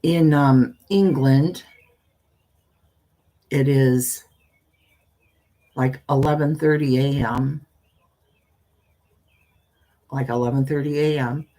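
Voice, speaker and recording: An older woman with a husky voice and a folksy, meandering manner, talking as a storyteller hosting her own live stream. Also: extremely low pitch, fairly even intonation, slow pace, pauses often, mellow. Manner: folksy, meandering, often trailing off and repeating. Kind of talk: casual rambling chat with viewers; reading a spooky story aloud.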